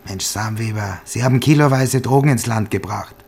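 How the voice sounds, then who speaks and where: A stern male officer with a creaky, husky voice. He sounds dry, sceptical and condescending, in a naturalistic radio drama style. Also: lowish voice, normal speed, a little mellow. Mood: stern, dismissive. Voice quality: gravelly, husky.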